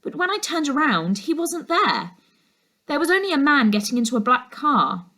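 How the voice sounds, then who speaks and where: A woman voicing an anxious, stubborn young girl in a storybook, excitable and insistent. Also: sits high, moderate pace, pitched above the performer's own voice, heavily acted. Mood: earnest, hesitant. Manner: excitable, urgent, pleading.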